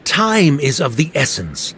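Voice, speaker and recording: A man's voice: on the high side, wildly swooping pitch, measured pace, a bit bright, slight background noise, heavily acted. An actor playing an arrogant male official who speaks in a stiff, formal, dismissive way. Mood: cold. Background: game music.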